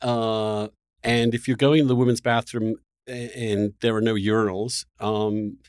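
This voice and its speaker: A man's voice: lowish voice, flat delivery, measured pace, smooth, no echo. A middle-aged man talks as a podcast host in a spirited, casual discussion. He hesitates and circles back as he thinks aloud. The recording is close and dry, with very little room sound.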